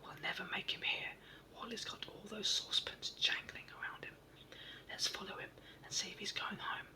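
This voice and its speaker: A storybook reader plays an eager, clever little brownie. The whole part comes as a soft whisper at a middle pitch. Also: fairly even intonation, normal speed, recorded from a distance, close to an everyday voice. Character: eager, quick-thinking male brownie.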